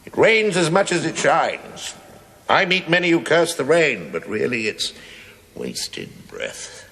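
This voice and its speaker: Sardonic, swooping, gravelly-edged stonemason. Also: male voice, fairly high pitch, sing-song, slow pace, sharp tone, full character voice. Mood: wheedling. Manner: sardonic, mock-deferential, theatrical phrasing. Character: wry, grumbling working stonemason, sly and put-upon. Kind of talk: theatrical television play dialogue. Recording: echoey hall, faint noise, 1980s TV recording.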